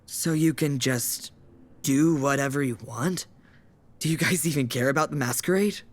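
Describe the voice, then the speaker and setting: A man's voice: medium pitch, moderate pace, lightly acted. A man playing a cautious, newly turned vampire in an audio drama, speaking tentatively and informally. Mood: curious.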